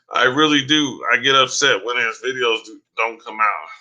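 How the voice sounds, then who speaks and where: A man chatting casually on a live stream, talking to viewers by name in a loose, rambling way, with a voice starting to go hoarse. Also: mid-range voice, pushed and bright, muffled recording. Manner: loose and rambling, many fillers and restarts; addresses viewers by name, says "man" often. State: voice going hoarse.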